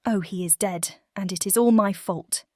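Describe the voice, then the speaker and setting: A woman's voice: medium pitch, moderate pace, a little mellow, no room sound, clean studio sound, lightly acted. A woman voicing a tender, earnest young heroine in a fairy tale audiobook. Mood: distressed, loving.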